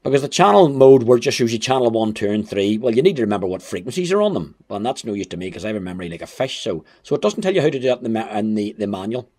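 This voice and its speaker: A man's voice: fairly low pitch, sing-song, racing, few pauses, darkish tone. A man giving a casual, chatty and wry hands-on gadget review. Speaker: amateur radio gadget reviewer. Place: indoors at home.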